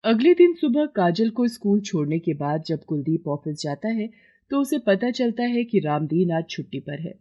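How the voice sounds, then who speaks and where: Story narrator, scripted bedtime-tale delivery, expressive pitch, mellow, muffled recording. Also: normal speed.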